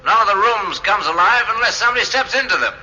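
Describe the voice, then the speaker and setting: A man's voice: sits high, animated, fast talker, sharp tone, highs cut off, a little hiss or hum, full character voice. An actor playing an observant, matter-of-fact starship crewman reasoning things out in a radio drama. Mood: reasoning, insistent.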